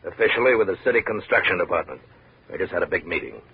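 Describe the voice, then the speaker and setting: An actor playing a big, blustery, dismissive building contractor in a 1950s radio drama. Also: male voice, low-pitched, lively intonation, fast, a little hiss or hum. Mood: guarded, challenging. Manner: blustery, dismissive, smooth-talking evasive. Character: big, brusque, bullying male building contractor. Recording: narrow muffled vintage radio recording.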